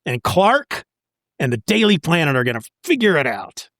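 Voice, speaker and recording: A man co-hosting a podcast, discussing comic books casually with an expressive, wry delivery. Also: a little high, moderate pace, no echo, studio quality. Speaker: podcast co-host.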